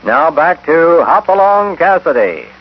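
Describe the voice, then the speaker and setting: A man's voice: on the high side, very animated, a little slow. A man narrating a 1950s radio western as its announcer, in a dramatic, emphatic scripted style. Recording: dull vintage radio broadcast with music. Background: music.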